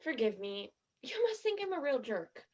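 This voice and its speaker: A woman's voice: fairly high pitch, big pitch swings, moderate pace, a little mellow, muffled recording. An actress in a stage play playing a disgruntled, sarcastic young tourist who complains in exasperation about her trip. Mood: confiding.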